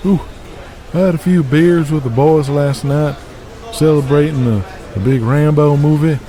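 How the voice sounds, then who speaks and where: Casting: male actor as easygoing eighties wrestling colleague, soft warm tone, slightly high voice, unbothered. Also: normal speed, lightly acted, low-quality recording. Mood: casual.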